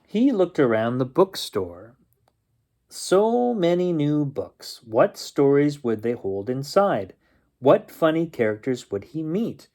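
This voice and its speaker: A man reading a children's picture book aloud as a bedtime storyteller, expressively and with drawn-out suspense. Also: a little high, sing-song, dark tone.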